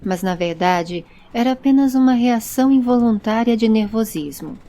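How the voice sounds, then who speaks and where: A woman's voice: a little high, expressive, normal speed, dark tone. A woman reading scripted narration as the narrator and presenter of an audio story.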